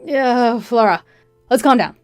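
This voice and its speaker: A woman's voice: fairly high pitch, a little slow, no room sound, soft background music, natural voice. A woman voicing a flustered, evasive cheating husband in a narrated story, stammering and pleading.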